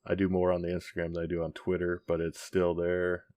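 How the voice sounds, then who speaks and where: A man's voice: sits low, completely flat delivery, a little fast, darkish tone, no echo. A man co-hosting a casual podcast, rambling along with lots of ums and restarts. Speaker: podcast co-host. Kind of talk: casual chat.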